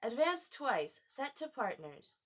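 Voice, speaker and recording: A woman voicing a brash, bossy gryphon in a loud, emphatic storybook manner. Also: fairly high pitch, sing-song, slow, pitched above the performer's own voice, full character voice. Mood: eager. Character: brash, excitable gryphon, bossy companion creature.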